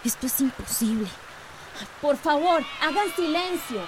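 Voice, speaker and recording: A woman's voice: high voice, lively intonation, a little slow. A woman acting an earnest, impatient schoolteacher in a radio drama. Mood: exasperated, firm. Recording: faint background noise.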